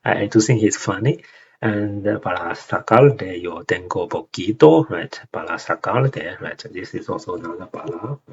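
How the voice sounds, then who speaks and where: Low-voiced man with Chinese accent. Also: animated, moderate pace, gravelly. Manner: hesitant, many fillers and rhetorical "right?" checks. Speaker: language learner explaining song lyrics. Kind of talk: casual explanatory lesson. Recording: dull lo-fi home recording with faint hiss.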